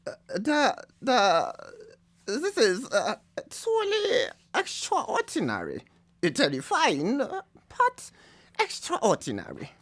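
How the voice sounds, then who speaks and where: An actress playing an excitable foreign doctor of science in a live radio drama, with halting phrases and grand proclamations. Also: female voice, fairly high pitch, exaggerated voice. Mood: awed, halting. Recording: echoey live stage broadcast, faint crowd.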